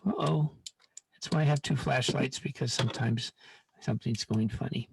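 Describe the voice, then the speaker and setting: A man's voice: medium pitch, moderate pace, vocal fry, breathy edge. A man leading an informal live online science demonstration, repeating words for emphasis and often checking in with the listeners.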